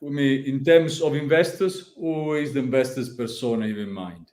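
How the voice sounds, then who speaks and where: A man hosting a live online pitch event, thanking founders with friendly bursts of speech. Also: moderate pace, darkish tone. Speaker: event host. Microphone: video call. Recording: echoey room on a call.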